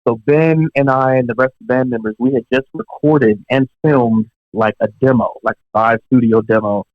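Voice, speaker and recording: A young man, a professional drummer, telling career stories in a relaxed, chatty way with lots of fillers and asides. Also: mid-range voice, moderate pace, no room sound. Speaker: professional touring drummer, podcast guest. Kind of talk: casual storytelling in an interview. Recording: dull, dry lo-fi podcast audio.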